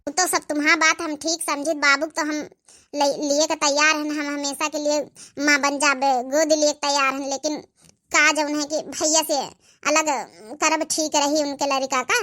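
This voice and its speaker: A woman's voice: high-pitched, level tone, normal speed, sharp tone. A woman playing a caring, worried young village sister with an Awadhi accent in a family cartoon. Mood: troubled, earnest. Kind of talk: family drama cartoon dialogue.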